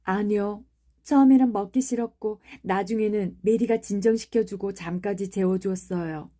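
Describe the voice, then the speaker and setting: A woman's voice: medium pitch, moderate pace, dark tone, voice pushed up, close to an everyday voice. A woman voicing a sickly, imperious young boy who gives orders like a little prince, in an audiobook. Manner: commanding, demanding. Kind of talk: audiobook character reading.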